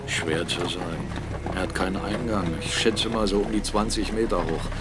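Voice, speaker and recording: An actor playing a blunt, argumentative captain and mill owner in a German radio drama, speaking emphatically. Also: male voice, deep voice, moderate pace, natural voice. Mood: matter-of-fact, grim. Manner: argumentative, emphatic, rhetorical questions. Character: blunt, defiant male mill owner and captain, critical of church.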